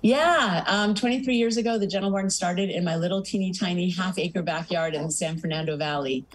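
Earnest woman on a video call, low voice. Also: a little fast, rough recording. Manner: earnest, lists things in long runs. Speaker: animal sanctuary founder. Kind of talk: remote news interview. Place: home office with pictures on the wall. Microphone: video call webcam.

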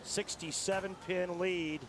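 A man's voice: high-pitched, flat delivery, measured pace. A man explaining bowling technique at length as a color commentator. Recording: TV broadcast with arena noise behind.

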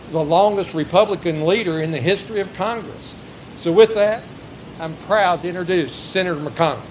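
Middle-aged guy reading notes at an outdoor mic, lots of uhs, kinda higher voice, bit of noise behind. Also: poor audio. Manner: reads prepared remarks with hesitant fillers. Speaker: local official. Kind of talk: introduction speech and technical explanation. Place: outdoors by a river. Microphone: stand microphone.

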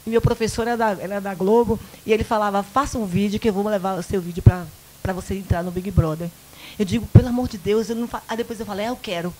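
A woman in her fifties from the Bahia countryside with a Bahian Brazilian accent, a guest in a small local studio interview, telling lively personal stories into a handheld mic and acting out the dialogue. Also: medium pitch, fast, slight background noise, rough recording. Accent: Bahian Brazilian Portuguese. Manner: animated, acts out quoted dialogue, frequent "né?". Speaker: guest, event producer. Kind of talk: casual interview storytelling.

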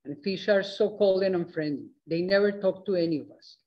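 A man's voice: high voice, a little fast. Big Rabbit, a man doing a smug fretful rabbit. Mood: dismissive. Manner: dismissive, blustering. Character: worried older male rabbit, stubborn and smug. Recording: dull lo-fi recording.

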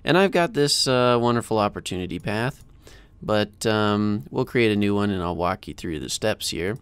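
An American man in his thirties hosting a casual software screencast tutorial in an informal, chatty way, close to a desk mic. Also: lowish voice, expressive, moderate pace, clean tone, no echo. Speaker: tutorial host. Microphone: close desk microphone.

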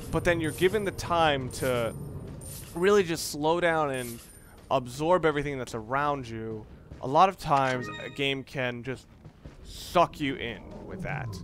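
Male let's play commentator, medium pitch, casual and rambling, thoughtful stop-start delivery, noisy home recording. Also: a bit bright. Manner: rambling, halting, reflective.

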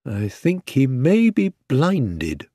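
A man voicing a grave army vet in a story, halting and sombre in delivery. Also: mid-range voice, huge pitch swings, mellow, no echo, studio quality, exaggerated voice. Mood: grave, reluctant. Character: grave male army vet, an expert on horses.

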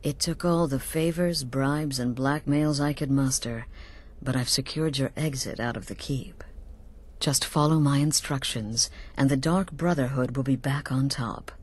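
An actress playing a cool, scheming assassin leader in a video game, measured, confident and conspiratorial. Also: female voice, a bass voice. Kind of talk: video game character dialogue.

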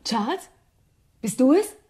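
An actress playing a schemer's obedient wife and accomplice with a soft voice in a German radio crime drama. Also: female voice, high-pitched, sing-song, unhurried, slight background noise. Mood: expectant, compliant.

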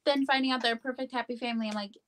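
A young woman talking casually about a book on a video call, in a halting, informal way full of "like". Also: fairly high pitch, fairly even intonation, a bit bright. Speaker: guest co-discussant. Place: small indoor room with bookshelves.

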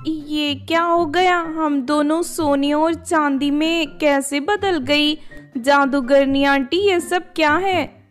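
Woman as a high-pitched bewildered village girl. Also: steady tone, slow, faint music behind. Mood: shocked, distressed. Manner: bewildered, pleading. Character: distressed young twin sister, naive village girl.

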